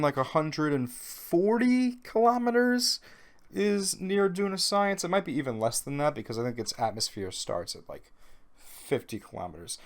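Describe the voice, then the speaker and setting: A man with a Brooklyn accent, a little nasal from a cold, giving chatty, self-deprecating voice-over commentary on his gameplay. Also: mid-range voice. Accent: New York (Brooklyn). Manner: chatty, self-deprecating, rambling with ums and restarts. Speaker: gaming video host.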